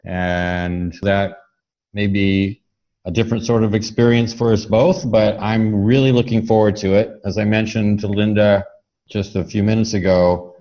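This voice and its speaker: A man's voice: on the low side, fairly even intonation, measured pace, mellow, highs cut off. A man hosting a podcast, introducing the show and talking conversationally with a guest. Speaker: podcast host.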